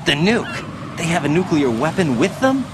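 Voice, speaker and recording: A man's voice: a little high, very animated, normal speed, background noise. An actor playing an earnest, uneasy young soldier in a video game, questioning what he is told. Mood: incredulous. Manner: questioning, incredulous. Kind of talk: video game cutscene voice acting.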